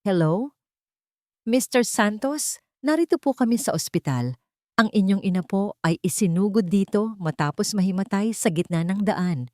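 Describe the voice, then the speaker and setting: A woman's voice: medium pitch, animated, normal speed, darkish tone, no echo, clean studio sound, natural voice. A woman voicing a formal, polite hospital staff member calling with urgent news.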